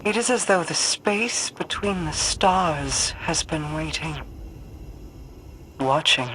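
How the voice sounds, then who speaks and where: A woman's voice: sits low, expressive, slow pace, fairly bright tone, slight background noise, heavily acted, poor audio. An actress playing a proud, brooding, mystical queen in a video game cutscene, with a solemn and portentous delivery. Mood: ominous.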